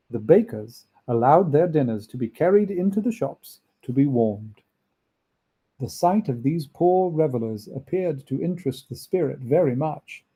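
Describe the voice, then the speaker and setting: A man reading a classic story aloud as an expressive narrator. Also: fairly high pitch, slightly rough, poor audio. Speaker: audiobook reader, narrator.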